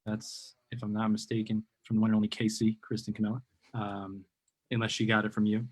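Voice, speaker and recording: A man's voice: on the low side, monotone, fairly quick. Chatty enthusiastic male host. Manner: enthusiastic, rambling with many fillers. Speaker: host of a live video interview show. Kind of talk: casual live-stream interview.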